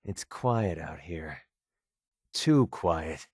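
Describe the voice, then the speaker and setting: An actor playing a wry, cocky young mercenary in a video game, with a sardonic, casual, colloquial delivery. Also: male voice, sits low, animated, extremely slow, darkish tone, breathy edge, no room sound, studio quality, exaggerated voice. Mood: wary, wry. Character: wry young male mercenary, cocky, wary, protective father. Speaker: video game companion character. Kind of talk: video game voice acting, casual banter lines.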